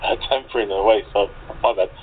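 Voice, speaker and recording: A man's voice: on the low side, a little fast. A man chatting casually as a voice actor, hesitant and apologetic in the way he talks. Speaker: voice actor in a radio drama group. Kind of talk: casual chat. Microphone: internet call. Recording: tinny phone-like line, faint noise.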